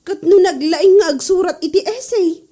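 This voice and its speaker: A woman with an Ilocano accent playing a chirpy, sympathetic fellow schoolteacher in a comedy drama, animated and coaxing. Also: very high voice, normal speed. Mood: curious, coaxing.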